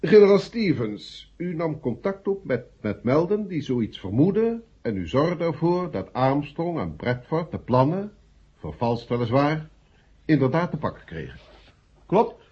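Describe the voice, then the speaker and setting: Husky, pompous chairman. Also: fairly high pitch, big pitch swings, measured pace, a little mellow, full character voice. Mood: stern, formal. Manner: oratorical, emphatic. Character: pompous, sardonic male committee chairman addressing gentlemen. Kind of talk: 1970 radio drama speech. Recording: dull old radio recording.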